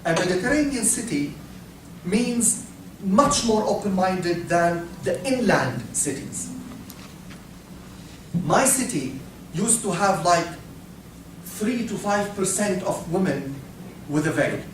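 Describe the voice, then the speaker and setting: A man from Syria, giving a talk, halting and repeating words, asking the audience questions. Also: high-pitched, expressive. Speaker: speaker. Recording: echoey hall, from far off, faint noise.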